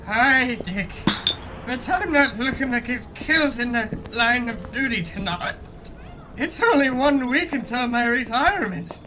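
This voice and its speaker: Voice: mid-range voice, animated, unhurried, noisy background, natural voice. An actor playing a nervous, moralizing police officer near retirement, worried and melodramatic, in a comic audio drama.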